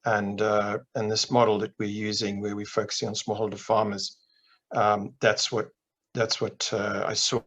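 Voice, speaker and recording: A middle-aged man from Zimbabwe on a video call, explaining his work in a measured way with frequent restarts. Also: on the low side, completely flat delivery, a bit bright. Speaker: investment manager guest. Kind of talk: interview answers on a video call. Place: home office with plain wall. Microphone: webcam on video call.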